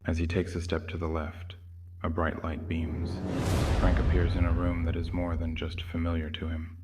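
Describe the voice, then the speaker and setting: A man's voice: sits low, completely flat delivery, normal speed, very noisy. A man giving scripted narration of a horror story as its narrator.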